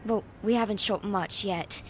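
A woman's voice: mid-range voice, fairly even intonation, moderate pace, a little hiss or hum, lightly acted. An actress playing an eager, nervous young female reporter in an audio drama, chatty and hesitant. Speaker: voice actor.

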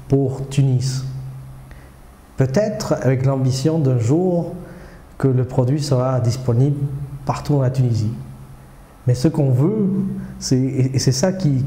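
A man's voice: medium pitch, warm tone. A man in his forties from South America, giving hesitant but earnest interview answers full of fillers, seated in a small studio with a lapel mic. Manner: hesitant, many fillers and restarts; earnest and persuasive, gestures with hands. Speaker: startup accelerator director. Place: small indoor studio, seated before a screen. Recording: roomy echo.

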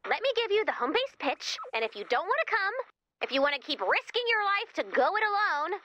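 A woman's voice: extremely high pitch, big pitch swings, brisk, bright, forceful tone, highs cut off, exaggerated voice. A woman playing a wisecracking, eager, sarcastic female robot helper in a video game, quippy and playful with rambling asides. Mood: eager, persuasive. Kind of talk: scripted video game character dialogue.

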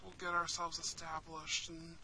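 A woman's voice: low-pitched, normal speed, slight background noise, pitched below the performer's own voice, exaggerated voice. A woman voicing a kind, protective young prince in an intimate roleplay, tender and dramatic. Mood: gentle, reassuring. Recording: steady rain and fireplace ambience.

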